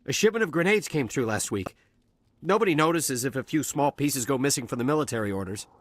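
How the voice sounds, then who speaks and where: A man's voice: mid-range voice, animated, fast talker, close to an everyday voice. A man playing a shaken, cowardly dockworker and smuggler in a video game, stammering nervously and defensively. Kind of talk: video game cutscene acting.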